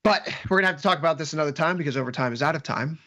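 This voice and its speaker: A man's voice: a little high, racing, bright, forceful tone. A man hosting a casual markets talk show, chatting loosely with guests and wrapping up the segment. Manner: joking, wraps up the show. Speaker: show host. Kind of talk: casual panel chat on markets.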